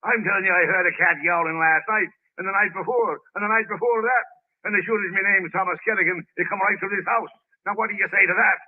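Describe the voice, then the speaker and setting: An actor playing a nosy, stubborn, folksy police sergeant neighbor in a 1930s radio drama. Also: male voice, high-pitched, steady tone, fast, narrow, tinny audio. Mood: indignant. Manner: folksy, garrulous, colloquial phrasing. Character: genial, talkative, nosy Irish-American police sergeant neighbor turned stubborn. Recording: band-limited vintage 1930s radio recording.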